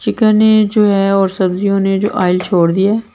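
A woman's voice: medium pitch, level tone, moderate pace, warm tone, muffled recording. A woman with a Pakistani Urdu accent, hosting a cooking channel and explaining a recipe step by step in a plain, repetitive instructional way. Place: home kitchen.

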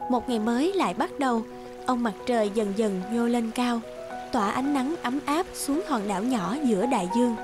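A woman narrator reading a scripted fairy tale for a cartoon. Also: a little high. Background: background music.

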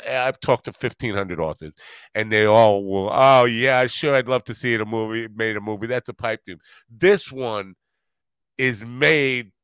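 A middle-aged American man chats casually as a podcast host. His voice is fairly low and clean, with halting, wandering phrasing. It is recorded close and dry on a studio mic. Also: a bit bright, clear, resonant tone, no room sound. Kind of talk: casual interview chat. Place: home studio. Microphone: microphone on stand close to mouth, headset.

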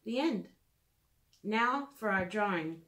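A woman in her thirties reading aloud and leading a drawing lesson for preschoolers in a clear, simple storytelling manner, recorded in a room at home. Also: mid-range voice, lively intonation, slow pace, a bit bright. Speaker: children's educator reading and drawing. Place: small room at home, indoors.

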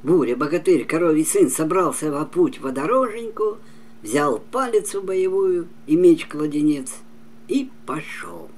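An older man in his seventies telling a folk tale as a storyteller, with an expressive, dramatic, singsong delivery. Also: fairly high pitch, a little slow. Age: older, about 70s. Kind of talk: expressive fairy-tale narration. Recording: clearly noisy home recording.

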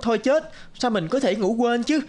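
A woman's voice: on the high side, lively intonation, moderate pace, lightly acted. Small earnest peasant boy for animation, female performer, childlike, plaintive, music underneath. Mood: panicked. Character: small, earnest, anxious orphan buffalo boy.

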